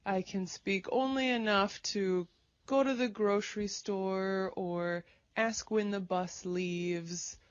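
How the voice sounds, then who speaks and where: A woman talks slowly and clearly through an easy conversation for learners, asking plain questions. The recording is dull and lo-fi, dry and close. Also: medium pitch, steady tone, no echo. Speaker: conversation partner in English lesson. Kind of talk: slow, simple conversation for learners.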